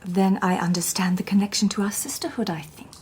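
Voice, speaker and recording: An actress playing a composed, precise Victorian lady detective with a reserved, breathy manner. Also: medium pitch, fairly even intonation, brisk, darkish tone. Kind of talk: radio drama acting.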